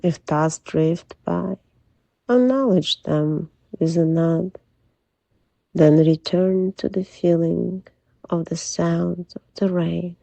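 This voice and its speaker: A woman leading a guided sleep meditation in a soft, soothing, gentle voice that often drops to a breathy near-whisper. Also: lowish voice, no room sound, lo-fi sound. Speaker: hypnotherapist guide.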